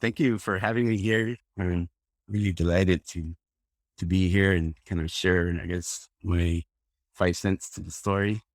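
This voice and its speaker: A man's voice: sits low, fairly even intonation, measured pace, dry recording, clean studio sound. A man from Rica, a guest scientist talking casually in an interview, hesitant with many fillers and restarts. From Costa Rica. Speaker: guest plant ecologist.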